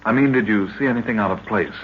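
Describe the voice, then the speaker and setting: An actor playing an earnest, devoted young adventurer in old radio style, polite and full of old-fashioned exclamations. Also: male voice, lowish voice, fast talker, close to an everyday voice. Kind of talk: 1940s radio acting. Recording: muffled, noisy old radio recording.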